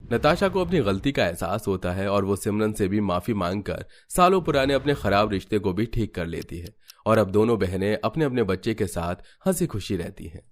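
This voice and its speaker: A man's voice: on the low side, big pitch swings, normal speed. A man narrating a scripted family story as a storyteller.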